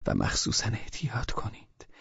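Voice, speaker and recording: A man voicing a worried, kind middle-aged lady who gives gentle, partly whispered warnings. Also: dry recording, close to an everyday voice. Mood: concerned. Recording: dry, slightly dull recording.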